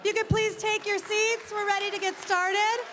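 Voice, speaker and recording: A woman's voice: extremely high pitch, level tone, normal speed. A woman organizer welcoming the audience and politely asking them to take their seats at a town hall. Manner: polite, coaxing people to sit down. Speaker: event organizer and host. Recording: crowd chatter and room noise.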